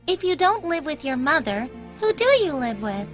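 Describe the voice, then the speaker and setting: A woman voicing a sweet, curious, helpful little girl in an animated children's story, with an inquisitive, sympathetic manner. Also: high-pitched, normal speed, soft background music.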